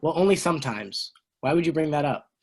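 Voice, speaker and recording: A man playing an excitable high school boy in an amateur script table read. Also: fairly quick, vocal fry, slightly rough, exaggerated voice. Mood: eager.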